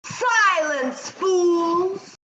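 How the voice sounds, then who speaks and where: Woman acting an imperious alien volcano queen, high and slow. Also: pushed and bright, muffled recording, a little hiss or hum, full character voice. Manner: commanding, grandiose. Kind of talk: campy radio play sci-fi serial acting.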